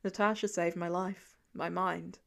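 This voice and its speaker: A woman voicing a weary, gentle middle-aged male archer with an earnest, reassuring, plain-spoken manner in an audiobook story. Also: lowish voice, steady tone, unhurried, creaky, pitched below the performer's own voice. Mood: steady, reassuring.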